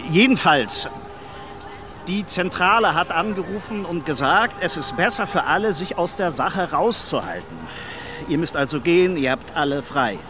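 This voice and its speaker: Man playing bossy high-pitched branch manager. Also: lively intonation, normal speed, close to an everyday voice. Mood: officious. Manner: bossy, curt. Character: officious, testy male fast-food branch manager. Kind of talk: radio drama acting. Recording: clear background noise, outdoor ambience.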